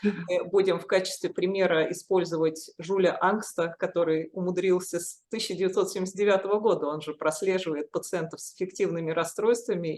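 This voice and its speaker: A woman's voice: on the low side, a little mellow. A woman hosting a professional interview, speaking articulately in long, structured sentences. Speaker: interviewer, deputy editor of a journal.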